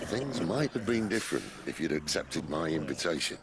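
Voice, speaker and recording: Brief: a deep, gravelly outlaw boss, dry and sardonic, lively inflection. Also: male voice, normal speed, very noisy. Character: wry older male outlaw leader, gruff and dry. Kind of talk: game cutscene voice acting.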